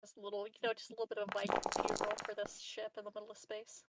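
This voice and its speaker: A woman's voice: mid-range voice, completely flat delivery, fast talker, heavy background noise. A woman playing a tabletop roleplaying game, talking casually, a little away from a dry microphone. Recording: dry, slightly distant microphone.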